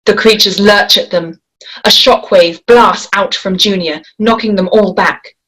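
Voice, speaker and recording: A woman reading a screenplay aloud in a measured, descriptive way, giving action lines and scene headings. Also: medium pitch, steady tone, a bit bright, breathy edge, rough recording. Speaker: script reader. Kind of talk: screenplay table read.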